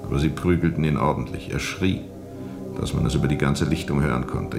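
An actor playing a grave, seasoned sea captain who recounts a jungle river journey in a deliberate, brooding way for a radio drama. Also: male voice, very deep voice, vocal fry. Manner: deliberate, brooding, measured storytelling. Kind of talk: radio drama narration, recounting under questioning.

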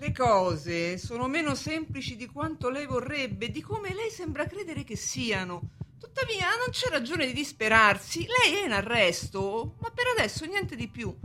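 A woman's voice: sits high, bright, forceful tone, slight background noise, heavily acted. A woman playing a condescending, evasive police brigadier in a radio play, with an officious, patronising delivery. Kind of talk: radio drama stage acting.

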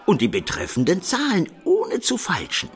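A shrewd medieval townsman in a radio drama. His slightly high voice swings widely as he explains emphatically and makes sure he is followed, with faint music behind. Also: huge pitch swings, moderate pace. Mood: shrewd, explaining. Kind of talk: radio play acting.

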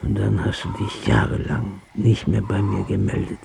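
A dying old father in a radio drama, low and gravelly. He is bitter and full of reproach, his breath sometimes failing. There is faint noise behind him. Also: little pitch movement, normal speed, mellow. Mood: reproachful. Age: older.

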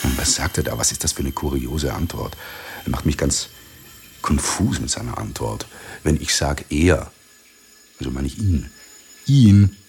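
A very deep, gravelly male army captain in a radio play. He is pompous and easily muddled, moralizing in halting, repetitive phrases at a slow pace. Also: lively intonation, a little mellow, heavily acted. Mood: pompous, indignant. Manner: pompous, halting, repeats himself. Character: pompous, befuddled, moralizing army captain lecturing his subordinate. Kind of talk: theatrical radio drama acting.

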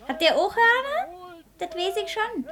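A woman's voice: extremely high pitch, big pitch swings, measured pace, a loud crowd, exaggerated voice. An actress playing a cheeky, teasing little working-class girl in a radio play.

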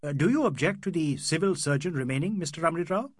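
A man acts out a flustered male magistrate in an audiobook. He is deferential and polite, hesitating nervously as he speaks. The pitch is lifted and lively. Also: fast, breathy edge, no room sound, clean studio sound. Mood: hesitant query.

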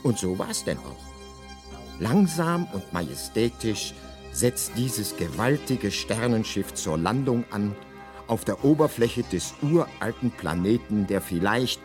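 A man narrating as a galactic guidebook in a comic radio play, mock-solemn and deadpan in his wording. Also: on the low side, takes it slowly, music in the background. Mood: mock-majestic.

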